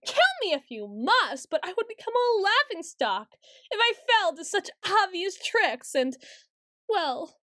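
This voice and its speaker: A woman's voice: very high voice, sing-song, unhurried, sharp tone, no echo, clean studio sound, exaggerated voice. A woman playing a haughty, petulant ancient female vampire in a scripted audio roleplay, speaking in a theatrical, imperious and archly formal way. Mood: flustered, imperious. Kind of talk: scripted ASMR roleplay monologue.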